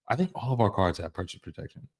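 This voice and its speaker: A man's voice: low-pitched, big pitch swings, fast talker. A man hosting a casual reaction chat, laid-back and rambling, with lots of fillers. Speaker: host.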